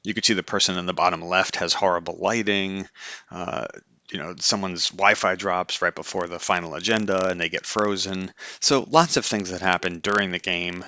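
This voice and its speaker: A man's voice: deep voice, pushed and bright, clean tone, no echo, studio quality. A man hosting a light-hearted explainer in a conversational, informal way, with casual asides. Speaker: host. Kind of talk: light-hearted explainer, semi-scripted talk.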